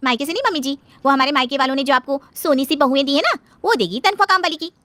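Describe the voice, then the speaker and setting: A woman's voice: sits high, fast talker, bright, forceful tone, no echo, pitched above the performer's own voice. A woman playing a harried, outspoken young working daughter-in-law in a family story, chatty and pert. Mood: persuasive.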